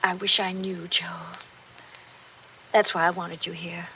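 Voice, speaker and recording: An actress playing a hurt, bitter wealthy wife in a 1940s radio drama, speaking in a genteel, wistful way. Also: female voice, lowish voice, level tone, unhurried, bright, forceful tone. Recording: muffled old radio broadcast with hiss.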